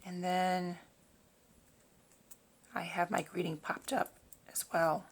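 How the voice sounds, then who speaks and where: A woman hosting a casual craft tutorial in a raspy, hoarse voice, talking informally and a little haltingly as she works. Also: fairly low pitch, level tone. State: hoarse, throat-clearing from long talking. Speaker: host of a papercraft tutorial. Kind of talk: casual craft demonstration, talking while working.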